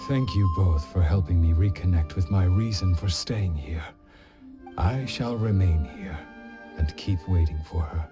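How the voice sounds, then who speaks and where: A gentle older man reflects with wistful resignation. He speaks very slowly and pensively in a fairly low voice, as in a video game cutscene, with music behind him. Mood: quietly resolved. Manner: measured, pensive, sighing.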